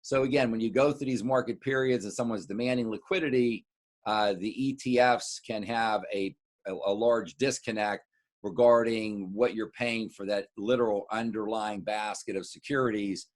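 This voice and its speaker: A middle-aged American man, a wealth adviser, gives an informal market recap to clients, halting and repeating words as he adds explanatory asides. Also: lowish voice, fairly even intonation.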